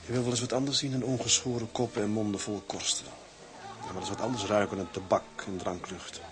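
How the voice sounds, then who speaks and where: An actor playing a plain-spoken, shy married seaman in a radio play, talking in a halting, earthy way. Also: on the low side, little pitch movement, natural voice. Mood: matter-of-fact. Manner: halting, earthy sailor's talk.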